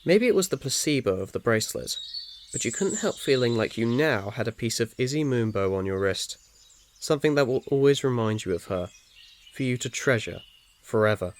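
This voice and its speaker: A man's voice: medium pitch, lively intonation, a little fast. A man reading scripted second-person story narration in an ASMR style. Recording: faint noise with birdsong behind.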